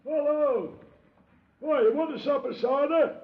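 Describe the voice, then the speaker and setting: An ancient cider maker for a 1950s radio play. His voice is high, with a rustic, halting, chatty manner. It comes through a thin, tinny old broadcast recording with long cavernous reverb. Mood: welcoming. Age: older. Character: ancient, superstitious English country cider maker, affable and rustic.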